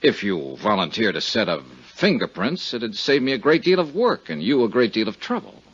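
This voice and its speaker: Persistent, courteous radio investigator of the 1950s: husky, animated delivery, cool temper, lo-fi vintage sound. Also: male voice, on the low side, normal speed. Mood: reassuring, firm. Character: cool, persistent, polite male insurance investigator. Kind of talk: 1950s radio detective acting and first-person narration. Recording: dull 1950s radio recording.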